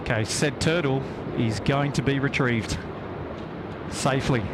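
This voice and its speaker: Heavy outdoor airport noise surrounds an Australian man. He has a mid-pitched voice and talks casually, commentating live for a stream. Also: measured pace. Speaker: live stream host, plane spotter. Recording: loud outdoor airfield ambience behind voice.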